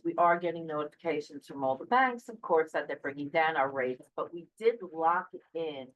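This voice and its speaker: A woman with a low voice presents the financial report at a board meeting. She reads through the figures in a matter-of-fact way, with the odd filler between items. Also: a bit bright, rough recording.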